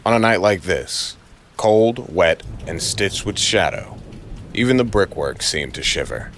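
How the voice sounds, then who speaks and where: Male noir storyteller, very low register, brooding and clipped, dramatic audio drama read over faint rainy ambience. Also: animated, a little slow, a bit bright, slight background noise. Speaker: narrator.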